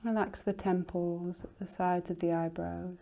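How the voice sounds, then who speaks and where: Woman, relaxation teacher, very mellow and low-key, creaky low fry, soft breathy phrase endings, soothing unhurried instruction. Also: little pitch movement. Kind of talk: guided relaxation meditation.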